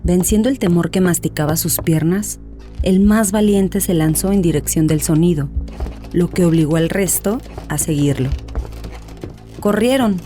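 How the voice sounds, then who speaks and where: A woman reading dramatic scripted audiobook narration. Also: lowish voice. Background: background music.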